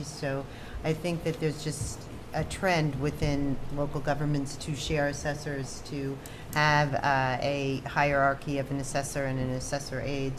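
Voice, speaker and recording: A woman with an American accent and a very low voice chairs a public meeting. She gives informal updates in measured, hesitant phrases with little melodic movement. Clear background noise from the room runs under her speech. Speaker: town supervisor chairing a meeting. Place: indoor town board meeting.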